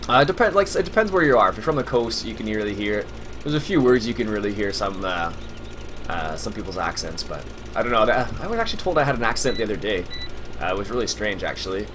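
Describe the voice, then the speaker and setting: Low-voiced Canadian man rambling over engines. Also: fast talker. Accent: Canadian English. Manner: rambling, with frequent filler sounds and soft chuckles. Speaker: let's play host. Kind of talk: casual gameplay commentary. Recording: steady loud game engine noise underneath. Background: farm vehicle engine sounds from the game.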